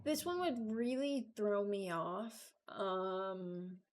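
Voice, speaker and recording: Young woman doing chill unscripted commentary, mid pitched, kinda hesitant, figuring it out as she talks. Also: animated, slow. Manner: hesitant, thinking out loud. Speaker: video creator ranking game voice lines. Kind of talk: casual, unscripted reaction commentary.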